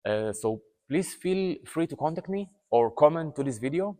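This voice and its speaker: A man's voice: fairly high pitch, lively intonation, normal speed, dark tone, long reverb tail. A man in his thirties with an Israeli accent, a native Hebrew speaker, appealing earnestly to viewers as an activist, restarting words and using many fillers. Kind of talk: talking to camera, appeal to viewers. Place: indoors, seated in a room. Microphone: lapel mic.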